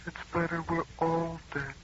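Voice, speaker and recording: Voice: mid-range voice, level tone, normal speed, over loud music, natural voice. An actor playing a doomed son calling to the mother, eerie and resigned, in an old-time radio horror drama. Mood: eerie calm. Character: dying young son calling softly from the dark. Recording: very noisy muffled old radio recording.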